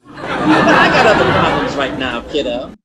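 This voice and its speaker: Man playing a grumbling, animated folksy husband. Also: a little high, a loud crowd, heavy background noise. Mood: wry, grumbling. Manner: halting, folksy, exasperated. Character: grumbling, excitable middle-aged husband, folksy schemer. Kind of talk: old-time radio comedy acting.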